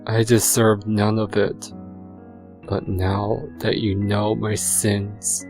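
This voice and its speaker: Man voicing a tormented pony stallion, low and slow, remorseful confession, dark audio story, soft music behind. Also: completely flat delivery. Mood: remorseful, weary. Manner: sombre, remorseful, measured delivery. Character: guilt-ridden stallion confessing his killings in prayer. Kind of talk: dramatic audio fan fiction reading.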